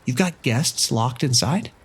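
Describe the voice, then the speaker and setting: An actor playing a stressed casino security boss taking charge in a horror audio drama. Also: male voice, mid-range voice, lively intonation, unhurried, slightly rough, exaggerated voice. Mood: worried.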